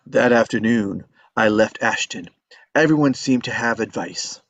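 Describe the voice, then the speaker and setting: A man's voice: fairly low pitch, measured pace, a little hiss or hum. A man playing an adventurous, easygoing young small-town man who tells his own story at a table read. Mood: wry reminiscing. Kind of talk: table-read acting with first-person narration. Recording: dull lo-fi microphone sound.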